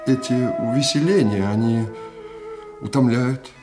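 An actor playing a nervous but dignified prince who poses as king, courtly and measured, in a Russian radio drama. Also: medium pitch, lightly acted. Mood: nervous, controlled. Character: secret twin prince posing as king, restrained, nervous, dignified. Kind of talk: Russian radio play acting. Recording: loud orchestral music behind.

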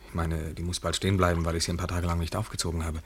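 An actor in a German radio play as an uneasy, lonely bar customer, speaking in a subdued, hesitant, absent-minded way. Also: male voice, low voice, little pitch movement, very fast, close to an everyday voice. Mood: distracted, uneasy. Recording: faintly noisy old radio recording.

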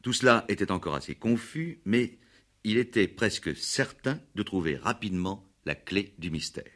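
A man's voice: lowish voice, animated, normal speed, a bit bright. A man narrating a scripted radio police drama as its storyteller.

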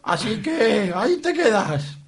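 Man playing a high-pitched, theatrical city mayor. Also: big pitch swings, slow pace, exaggerated voice. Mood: self-serving. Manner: theatrical, declamatory. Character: melodramatic, self-serving male city mayor. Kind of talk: comic radio soap acting.

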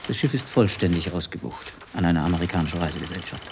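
An actor playing a cold, formal shipping office manager in a radio drama, speaking in a businesslike way. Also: male voice, deep voice, quick pace, background noise. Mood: cold, matter-of-fact.

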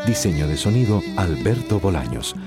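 Animated male announcer over music. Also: low voice, moderate pace, vocal fry. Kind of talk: scripted credits announcement. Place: recording studio.

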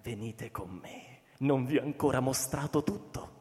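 An actor playing a calm, erudite professor of biology who explains things deliberately in a radio drama. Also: male voice, mid-range voice, lightly acted. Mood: grave, quiet. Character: calm, erudite Japanese male professor devoted to termites. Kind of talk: scripted radio drama dialogue. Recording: long reverb, music behind the voice.

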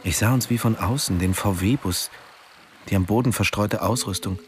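A man acting a conflicted diving instructor with a low voice. He confides his story introspectively, with hesitations, in the intimate manner of a radio drama. Music plays clearly behind him. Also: normal speed, natural voice. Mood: troubled, reflective. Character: diving instructor, brooding, conflicted male narrator in an affair. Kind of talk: intimate first-person radio drama narration and dialogue.

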